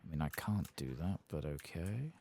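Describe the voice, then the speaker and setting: A soft-spoken man murmuring relaxed ASMR commentary to himself as he plays a game. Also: low voice, normal speed, vocal fry, a little hiss or hum. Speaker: game streamer. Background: keyboard typing and clicking.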